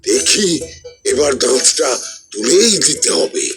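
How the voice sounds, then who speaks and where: A man playing a royal court gentleman in a Bengali cartoon, expressive and measured. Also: mid-range voice, a crowd in the background. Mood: pleased, explaining. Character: men of a royal court: physician and king. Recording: music behind the voice.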